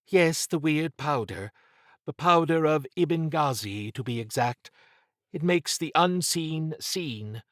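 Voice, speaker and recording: A man voicing a shrewd, wary female spy in an audiobook, brisk and a little sardonic. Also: on the high side, expressive, dry recording, studio quality, pitched above the performer's own voice. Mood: dry, precise. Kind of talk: audiobook character dialogue.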